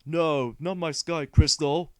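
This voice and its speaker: A man voicing a blustering, grumpy monster villain from a video game, in a slow, stumbling read. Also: on the high side, fairly even intonation, dry recording. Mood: dismayed. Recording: game music behind.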